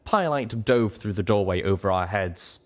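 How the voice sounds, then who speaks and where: A man with a fairly low voice reads fiction aloud in a first-person narrative style. He works as the storyteller, carrying scenes and description. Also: animated, moderate pace. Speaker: audiobook reader.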